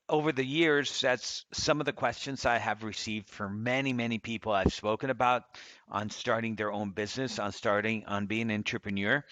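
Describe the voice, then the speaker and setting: A man's voice: medium pitch, fairly bright tone, dry recording. Earnest motivational Costa Rican man. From Costa Rica. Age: 50s. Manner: earnest, motivational, repeats phrases for emphasis. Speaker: podcast co-host. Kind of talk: casual conversational podcast.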